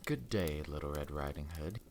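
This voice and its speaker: A man voicing a sly, wicked storybook wolf with a soft, courteous, coaxing manner. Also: rumbling low, expressive, normal speed, creaky, a little hiss or hum, pitched below the performer's own voice, exaggerated voice.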